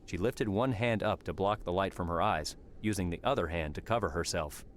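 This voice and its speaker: A man's voice: deep voice, fairly quick. A man narrating a scripted fantasy story as an audiobook narrator. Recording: clearly noisy background.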